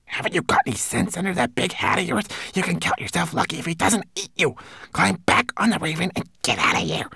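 A little storybook servant, acted by a man, pitched up and hurried. He is anxious and fawning, with a sharp hiss for those beneath him, in a dry recording. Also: huge pitch swings, no room sound, exaggerated voice. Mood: hissing, scornful. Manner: hurried, hissing, fawning to his master. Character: small male servant, anxious, servile, sharp with underlings.

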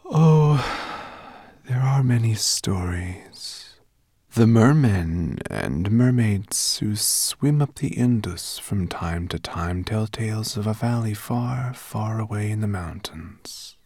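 A man voicing a courteous, ominous female sea serpent in an audiobook, with a slow, hissing, whispery delivery. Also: fairly low pitch, expressive, darkish tone, no room sound, clean studio sound, pitched above the performer's own voice, exaggerated voice. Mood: mysterious. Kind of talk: audiobook character acting.

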